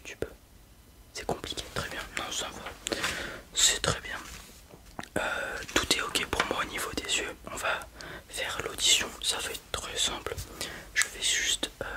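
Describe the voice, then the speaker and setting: An ASMR creator whispering softly and without voice while running a roleplay exam, giving gentle praise after every answer. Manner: soft, unvoiced whispering throughout. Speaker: ASMR creator playing an examiner. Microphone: close mic with left and right ear whispering.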